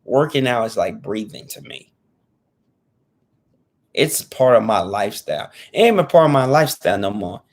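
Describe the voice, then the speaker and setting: A man's voice: on the low side, sing-song, normal speed, long pauses, a little mellow. A man in his thirties talking casually to camera as a fitness vlogger, earnest and repetitive, restating his points in informal slang. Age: 30s.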